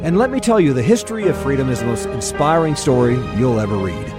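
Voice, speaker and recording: An American man reads a scripted radio promo in a mid-pitched, very lively voice. His delivery is earnest and dramatic, like an author pitching his work. Music plays clearly underneath. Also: fast. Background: prominent music bed.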